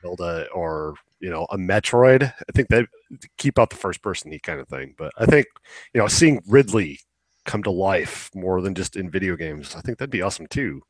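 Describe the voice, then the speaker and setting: A man's voice: sits low, lively intonation, moderate pace, dry recording. A man co-hosting a podcast, giving casual commentary in an informal, blunt and opinionated way. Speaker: podcast co-host.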